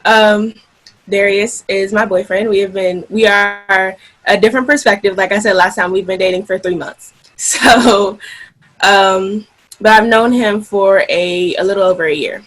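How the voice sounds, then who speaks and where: A woman in her twenties hosting a group discussion, friendly and relaxed, laughing lightly between her words. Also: medium pitch, unhurried, poor audio. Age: 20s. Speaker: discussion host. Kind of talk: casual hosting.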